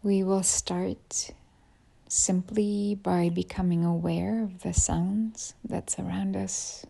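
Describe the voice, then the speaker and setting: A woman leads a guided meditation in a lowish, very relaxed voice. Heavy creak runs through her speech. Her phrases end softly and breathily, with unhurried pauses between them. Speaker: yoga nidra teacher.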